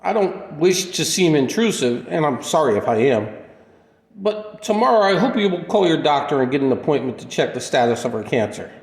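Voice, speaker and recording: Actor as a courteous older gentleman, echoey, lilting. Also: fairly high pitch, big pitch swings, fairly quick. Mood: gentle, insistent. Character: polite, soft-spoken older gentleman with mysterious calm. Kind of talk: radio play acting. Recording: echoey room with long reverb.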